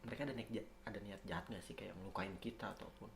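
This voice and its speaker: A young man with a Jakarta accent chatting casually in a comedic podcast, telling rambling stories in slang with an animated manner. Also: lowish voice, quick pace, creaky, reverberant. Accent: Jakarta Indonesian.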